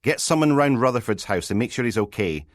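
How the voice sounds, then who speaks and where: A man's voice: mid-range voice, lively intonation, brisk, no room sound, studio quality, voice pushed up, natural voice. Man acting a curt, grumpy police sergeant, raised voice. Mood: brisk, commanding. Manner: curt, exasperated. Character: grumpy, sarcastic, weary male police sergeant.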